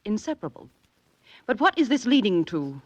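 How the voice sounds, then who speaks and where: An actress playing a prim, proper, middle-aged school headmistress in a 1940s radio drama, formal and hesitant. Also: female voice, medium pitch, very animated, normal speed, no echo. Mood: guarded, proper. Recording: dull vintage radio recording.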